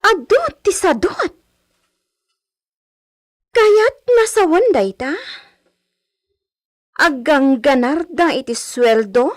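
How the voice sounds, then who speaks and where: A woman playing a haughty, spoiled young landowner's daughter in a Filipino radio drama, with a Filipino accent and drawn-out, Spanish-flavoured phrasing. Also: high voice, expressive, heavily acted. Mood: scornful, imperious. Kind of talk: Ilocano radio drama acting.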